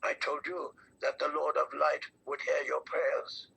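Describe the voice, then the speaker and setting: Man playing a raspy, portentous fantasy priestess. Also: a little high, level tone, bright, forceful tone, heavily acted, rough recording. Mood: solemn, proclaiming. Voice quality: raspy, creaky. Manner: portentous, deliberate. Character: solemn, persuasive priestess of a fire god.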